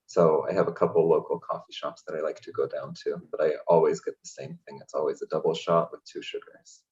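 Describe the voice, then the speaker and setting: A man from Hungary, a guest in an interview, speaking in a relaxed, chatty way with many fillers over a video call. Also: a bass voice, fairly quick, lo-fi sound. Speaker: interview guest, language access programs director. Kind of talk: casual interview conversation.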